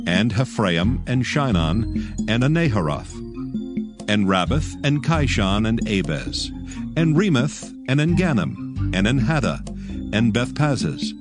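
A man reading scripture as a narrator in a formal, solemn, measured and declamatory manner. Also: mid-range voice, very animated. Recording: prominent music bed. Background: music.

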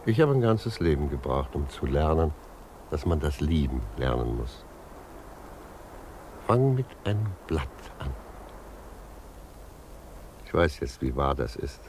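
An actor playing a gentle, philosophical old judge in a 1950s German radio play, reflective and earnest in manner. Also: male voice, a bass voice, sing-song, measured pace, long pauses, full character voice. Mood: gently instructing. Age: older. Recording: 1950s radio recording, faint noise.

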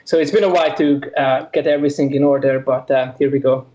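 A man's voice: medium pitch, fairly even intonation, fast talker, dark tone, echoey room, lo-fi sound. A man from Hungary, a researcher, answering interview questions in a hesitant way with many fillers. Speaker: researcher guest.

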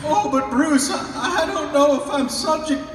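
A man's voice: very high voice, a loud crowd. An actor playing a timid, whining, anxious man who protests hesitantly in a live old-time radio drama. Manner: hesitant, fretful, drawn-out protests. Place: large convention hall stage with audience. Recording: distant, very echoey hall recording.

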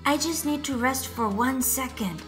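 A woman voicing a boastful, sweet little French snail in a picture book, theatrical and deliberate, talking straight to a child. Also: sits high, over music, voice pushed up, natural voice. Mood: boastful, excited. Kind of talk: picture book read-aloud.

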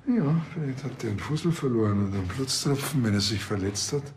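Ein Schauspieler spielt einen lockeren, neugierigen bayerischen Polizisten, der gern ein wenig stichelt. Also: male voice, mid-range voice, animated, quick pace, a little mellow, slight background noise, natural voice. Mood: casual. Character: easygoing, nosy male Bavarian policeman.

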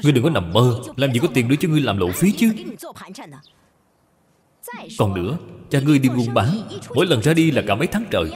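A man's voice: mid-range voice, sing-song, brisk, long pauses, a little mellow, close to an everyday voice. A man voicing a harsh, domineering stepmother who scolds scornfully in a folk tale. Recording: long reverb, music behind.

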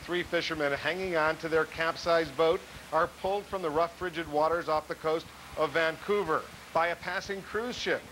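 Bright higher-voiced male news anchor. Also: fairly even intonation, normal speed, few pauses, a little hiss or hum, rough recording. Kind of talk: scripted news read.

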